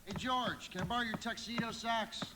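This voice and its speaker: An actor playing a cheerful, breezy, hurried younger brother in a live radio drama. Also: male voice, extremely high pitch, steady tone. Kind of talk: live radio play acting. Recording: reverberant hall, clearly noisy.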